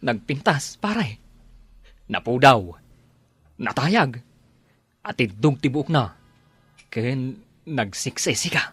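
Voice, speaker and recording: A man acting as a slow-talking, lovestruck Ilocano young suitor. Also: medium pitch, animated, fairly bright tone, husky, no echo, soft background music, full character voice. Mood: smitten, eager. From Philippines. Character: smitten, bashful young male suitor out buying liquor. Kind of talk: audio drama acting.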